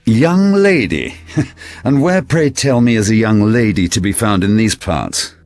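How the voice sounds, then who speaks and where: An actor playing a pompous, suspicious Victorian naval commander in an audio drama, sneering and sarcastic. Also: male voice, fairly low pitch, big pitch swings, measured pace, a little mellow, no echo, faint music behind. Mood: pompous, disdainful. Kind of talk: period audio drama acting.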